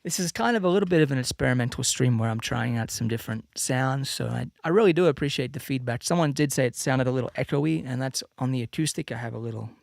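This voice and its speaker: A man's voice: medium pitch, fast talker, gravelly, hardly any pauses, no echo, clean studio sound. A man in his thirties from Australia, with an accent between Australian and American, chatting casually and loosely to livestream viewers as a musician. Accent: mixed Australian-American. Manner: relaxed, rambling, many fillers and restarts. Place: home room with a slight added reverb.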